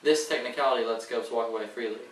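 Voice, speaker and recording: A man's voice: medium pitch, fast, close to an everyday voice. A man playing a solemn 1920s radio news correspondent, delivering scripted reports in an amateur period skit. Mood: formal. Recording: roomy, distant, faintly noisy.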